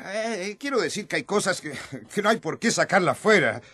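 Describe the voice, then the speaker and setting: An actor playing a blustery, hot-headed male disciple in a colloquial Latin American radio drama, husky and prone to stammering. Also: high-pitched, lively intonation, normal speed, sharp tone, no echo, full character voice. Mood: uneasy, defensive. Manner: blustering, stammering when flustered.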